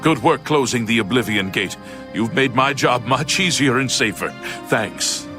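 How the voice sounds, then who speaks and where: An actor playing a brisk, matter-of-fact castle town guard in a fantasy game, speaking plainly and in a businesslike way. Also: male voice, medium pitch, natural voice. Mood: businesslike, appreciative. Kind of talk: fantasy video game acting. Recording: music behind the voice.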